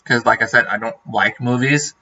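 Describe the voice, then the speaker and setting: A man's voice: mid-range voice, normal speed, sharp tone, highs cut off. Norwegian man chatting on a livestream. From Norway. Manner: rambling, many fillers and restarts. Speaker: streamer. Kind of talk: casual livestream chat.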